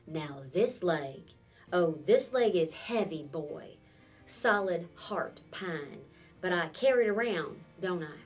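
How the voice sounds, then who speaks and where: A woman voicing a wary, blunt middle-aged woman with a wooden leg, who fires off sharp, probing questions. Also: lowish voice, animated, slow. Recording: distant mic with faint instrumental music.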